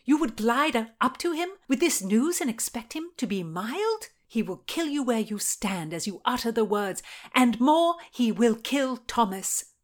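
A woman's voice: fairly high pitch, big pitch swings, a little slow, pitched above the performer's own voice. A woman voicing a stern, outraged Tudor lady and loyal friend in a period audiobook, emphatic and scolding. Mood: alarmed, scolding.

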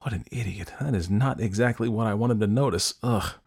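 A man's voice: mid-range voice, steady tone, unhurried, pitched above the performer's own voice. A man voicing a smitten, shy teenage girl who stammers hesitantly in an audiobook story. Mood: smitten, self-chiding. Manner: stammering, hesitant, breathy hushed asides.

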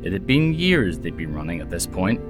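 An actor playing a jaded, wry mercenary haunted by lost love, speaking in a sardonic, conversational audio drama style. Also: male voice, low voice, very animated, normal speed. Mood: wistful, guarded. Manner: sardonic, storytelling about himself in third person. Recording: music behind the voice.

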